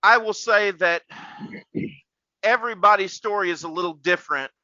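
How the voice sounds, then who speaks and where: A man's voice: high-pitched, moderate pace, bright, forceful tone, dry recording, muffled recording. A man hosting a casual faith podcast like a preacher, rambling and repeating words for emphasis.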